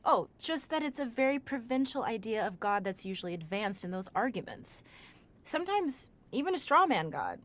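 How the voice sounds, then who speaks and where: A woman's voice: fairly high pitch, lightly acted. A woman playing a thoughtful, exasperated researcher, articulate and opinionated, in a conversational audio drama.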